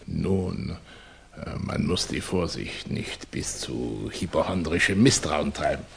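An actor playing a cunning Russian émigré revolutionary in a German radio play, flattering and condescending, with florid, oily politeness. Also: male voice, very deep voice, slow, gravelly, breathy edge, full character voice. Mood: suave, probing.

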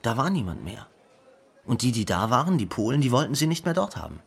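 A man's voice: medium pitch, very animated, a little fast, vocal fry, breathy edge, exaggerated voice. An actor playing a grown man who reflects on his late brother in a plainly told radio drama monologue. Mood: reflective, wistful. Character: grown younger brother fondly recalling his famous late brother.

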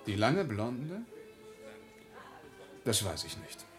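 A man's voice: on the low side, big pitch swings, very slow, faint music behind, heavily acted. An actor playing an earnest, touchy grown son in a radio drama, formal and defensive in his talk. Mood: wary, irritated. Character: earnest, touchy adult son of an honoured patriarch. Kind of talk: German radio drama acting.

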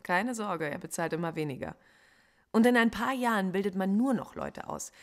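A philosophy professor under interrogation in a radio drama. She speaks at a middle pitch, articulate and argumentative. She stays defiant and answers with dry irony. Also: sing-song, normal speed. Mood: indignant. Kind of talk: German radio play acting.

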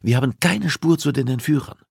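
Guy doing a cold bossy profiler for an audiobook, deliberate and insistent, raised pitch, close dry sound. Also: creaky, breathy edge, no echo. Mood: commanding, urgent. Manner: commanding, deliberate, argumentative. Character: cold, insistent male criminal profiler and police investigator. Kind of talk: audiobook dialogue acting.

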